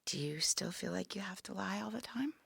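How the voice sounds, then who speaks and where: Audio drama role: dying older woman, wry and resigned, with a bright, gravelly edge, recorded dry and close. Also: mid-range voice, expressive, fairly quick, dry recording, heavily acted. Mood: weary, frank. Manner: weary, frank, dry.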